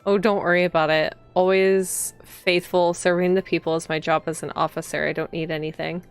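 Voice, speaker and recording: A woman voicing a kindly, dutiful policeman in a visual novel, gentle and formal, with music behind. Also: lowish voice, little pitch movement, brisk, clear, resonant tone, close to an everyday voice. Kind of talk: visual novel dialogue read aloud.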